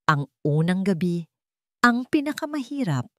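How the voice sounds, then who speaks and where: A woman with a Filipino accent reads a scripted dramatic story in measured, short phrases. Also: fairly low pitch, sing-song, dry recording, clean studio sound.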